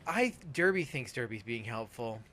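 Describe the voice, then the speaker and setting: A man's voice: mid-range voice, expressive, moderate pace, pushed and bright. Profane man rambling over noisy background. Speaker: host playing a video game. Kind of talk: casual let's play commentary.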